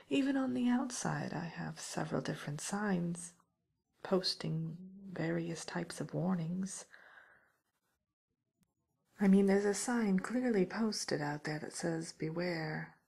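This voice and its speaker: A woman playing a wry, teasing witch who scolds an intruder in an ASMR roleplay, speaking softly and often in a whisper. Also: fairly low pitch, slow pace, heavily acted. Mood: amused scolding. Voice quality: soft, breathy, often whispered. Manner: teasing, musing, mock-stern, theatrical.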